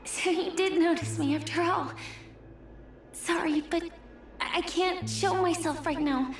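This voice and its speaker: An actress voicing a sweet, secretive young woman in a video game, soft and hesitant, with an echoing voice. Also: extremely high pitch, fairly even intonation, measured pace. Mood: gentle, secretive. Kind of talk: video game voice acting, English dub. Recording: echoey, long reverb on voice. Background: faint background music.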